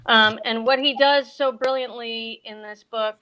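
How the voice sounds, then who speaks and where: A woman's voice: on the high side, fairly even intonation, measured pace, sharp tone, clean tone. A middle-aged American woman chatting casually as a guest bookseller and author, telling things in a chatty way with casual asides. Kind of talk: casual chat on a virtual event.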